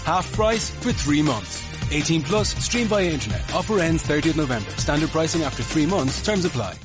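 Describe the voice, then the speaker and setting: A man delivers a scripted advertising read. His voice is rather high and very lively in pitch, upbeat in style, over prominent music. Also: moderate pace.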